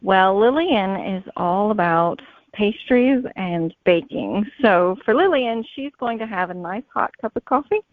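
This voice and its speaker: A woman's voice: medium pitch, animated, a little slow, no room sound. A woman speaking casually and conversationally as a guest author answering interview questions. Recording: thin phone-like sound.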